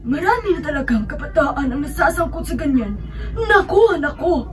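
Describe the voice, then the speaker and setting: A woman as fretful high-voiced Filipino older relative. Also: animated, normal speed. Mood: worried, scolding. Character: fretful, tearful older female relative warning a girl. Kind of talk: amateur radio drama acting. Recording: distant mic.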